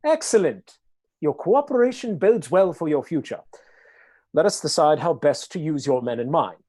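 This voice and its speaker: An actor playing a cold, scheming noble earl in a radio play, speaking with smooth, condescending menace. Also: male voice, a little high, animated, moderate pace, mellow. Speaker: villainous earl.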